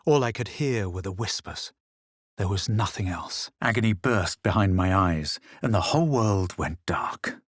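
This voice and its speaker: A husky fantasy game hero, sure he is better than you. His lowish voice swings widely in pitch with measured, superior drama. The recording is close and dry. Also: expressive, dry recording. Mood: measured, self-assured. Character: smug, self-assured adventurer hero of a fantasy game. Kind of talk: video game voice lines, narration and spell calls.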